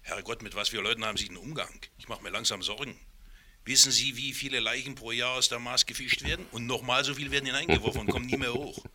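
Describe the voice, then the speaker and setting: An actor playing a wary, streetwise fixer in a radio drama, talking bluntly and colloquially with loyal worry. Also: male voice, mid-range voice, steady tone, fast talker, a crowd in the background, lightly acted. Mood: worried, urgent. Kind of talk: 1970s radio drama acting.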